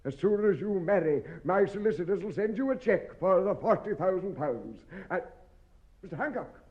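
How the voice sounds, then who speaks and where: An actor playing a fussy, formal family solicitor with a measured, mournful manner, in 1950s radio comedy style. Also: male voice, sits high, lively intonation. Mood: prim, official. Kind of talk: 1950s British radio sitcom acting. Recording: dull, muffled old radio recording.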